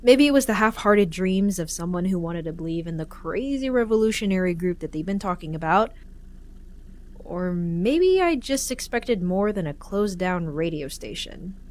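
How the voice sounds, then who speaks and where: A woman's voice: fairly low pitch, lively intonation, moderate pace, a little hiss or hum, natural voice. A woman playing a guarded young tech tinkerer in an audio drama, casual in dialogue and in first-person narration. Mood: reflective.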